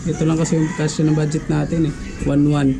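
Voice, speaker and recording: A man's voice: on the high side, completely flat delivery, fast, vocal fry, over music. A man talking casually as a vlogger walking viewers through a do-it-yourself tutorial. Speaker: vlogger giving a DIY tutorial.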